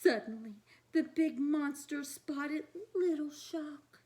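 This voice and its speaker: A woman's voice: sits high, slow, warm tone. A woman reading a storybook aloud for young children, expressively, with chatty asides to her listeners.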